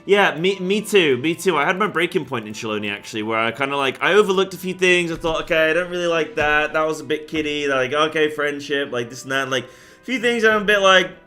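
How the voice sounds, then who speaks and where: A young man talks to his stream chat in a bright, forward voice, rambling through his thoughts with lots of "like" and "um." He gets worked up when he rants. A faint bit of music sits under his voice. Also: on the high side, expressive, brisk. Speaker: game streamer. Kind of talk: casual live-stream chat.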